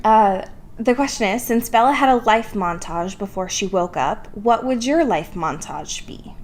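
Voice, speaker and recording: A woman's voice: mid-range voice, animated, normal speed. A woman of twenty-three co-hosting a podcast in casual book chat, informal and wry, poking fun at herself and swearing casually. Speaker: podcast co-host. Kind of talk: casual chatty book discussion.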